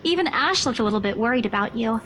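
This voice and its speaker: Woman acting an earnest, high-voiced young female friend. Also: lively intonation, quick pace, fairly bright tone. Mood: concerned, fussing. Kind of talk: visual novel voice acting.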